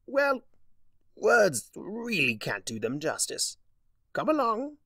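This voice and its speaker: Male narrator as mocking chaos spirit, theatrical, smug, sardonic drawl, raised pitch, storybook villainy, dry sound. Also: huge pitch swings, takes it slowly, sharp tone, no echo, exaggerated voice. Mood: condescending. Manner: drawling, sardonic, grandly condescending.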